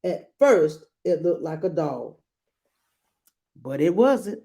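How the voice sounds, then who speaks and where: Mellow woman reading a picture book aloud. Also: lowish voice, sing-song, takes it slowly, vocal fry. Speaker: read-aloud storyteller. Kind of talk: children's picture-book read-aloud with asides.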